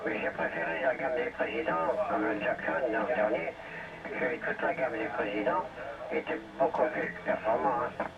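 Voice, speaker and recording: Very gravelly older man on CB radio. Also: a little high, normal speed. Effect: heard through a CB radio. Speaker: amateur CB radio operator. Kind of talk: casual chat over CB radio. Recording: dull CB radio signal, faint chatter.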